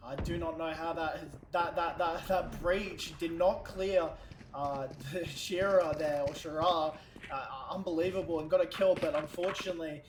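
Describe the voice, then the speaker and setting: High-pitched excitable male esports caster. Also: moderate pace, roomy sound, noisy background. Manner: excitable, builds to shouting at big plays. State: losing his voice. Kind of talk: play-by-play esports commentary.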